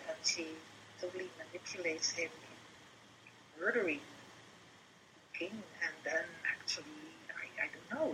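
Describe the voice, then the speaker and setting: A woman with a Dutch accent, a performance artist being interviewed, talking in a halting, reflective way and sometimes dropping into a whisper. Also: fairly low pitch, background noise. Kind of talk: casual interview chat.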